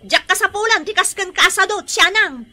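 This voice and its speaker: Sharp, high-pitched Ilocano lady boss. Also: expressive, a little fast, heavily acted. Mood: angry. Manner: nagging, emphatic. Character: strict, fed-up woman boss of a laundry shop. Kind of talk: radio drama acting.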